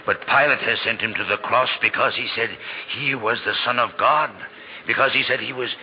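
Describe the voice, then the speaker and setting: A man's voice: medium pitch, a little fast, natural voice. An actor playing a plain-spoken townsman of ancient times, emphatic and archaic, in a 1940s radio drama. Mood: grave, emphatic. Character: plain-spoken townsman of ancient Jerusalem sharing grim news. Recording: muffled 1940s radio, faint noise.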